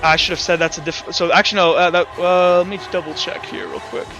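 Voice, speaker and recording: A man acting as game master in a tabletop roleplaying game, narrating off the cuff and calling for rolls in a halting, think-aloud way. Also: sits high, flat delivery, pushed and bright, highs cut off, soft background music.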